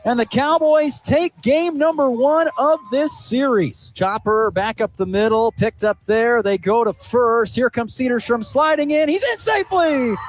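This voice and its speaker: A man calling a high school baseball game on radio, shouting the big plays. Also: measured pace, hardly any pauses. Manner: excited, shouting calls. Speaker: baseball play-by-play announcer. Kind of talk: radio play-by-play.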